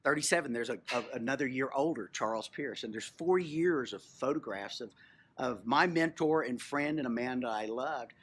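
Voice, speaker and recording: A middle-aged man from Texas telling a personal story informally as a museum co-host, in a reflective, halting way with repeated phrases. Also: medium pitch, fairly bright tone. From Texas, United States. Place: on location at a historic lodge. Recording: clear background noise, on location.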